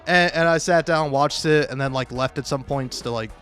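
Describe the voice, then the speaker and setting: A man's voice: fairly high pitch, normal speed, a bit bright, faint music behind. A man doing casual, rambling YouTube gaming commentary, stammering and restarting often, with profane, self-deprecating talk.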